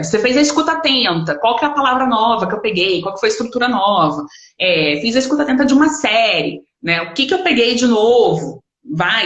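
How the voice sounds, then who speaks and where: A woman with a Brazilian Portuguese accent giving an informal online lesson, explaining conversationally and often checking in with short tags. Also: medium pitch. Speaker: English study teacher. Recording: echoey room sound.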